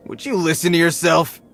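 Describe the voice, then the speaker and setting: An actor voicing a sneering, street-tough bandit boss in a video game, mocking and slangy with plenty of swagger. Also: male voice, a little high, fairly bright tone. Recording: game music behind the voice.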